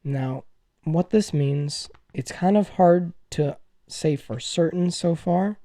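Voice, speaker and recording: Excitable young-sounding man, higher-pitched mellow voice. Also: takes it slowly, dry recording, studio quality. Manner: gushing, excitable, effusive. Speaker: gaming news video host. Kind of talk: casual gaming commentary to viewers.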